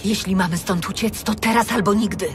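A woman's voice: medium pitch, fairly even intonation, brisk, loud music behind the voice, natural voice. A woman playing a determined, brave elven archer in a fantasy audio drama. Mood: urgent.

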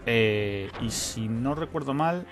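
A man's voice: mid-range voice, expressive, slow pace. A man giving informal let's-play commentary, thinking aloud as he plays and swearing now and then. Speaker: gaming channel host.

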